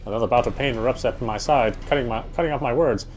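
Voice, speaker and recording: A man's voice: medium pitch, fast, a little hiss or hum. A man reading a horror visual novel aloud as first-person narrator, with a dramatic, tense delivery.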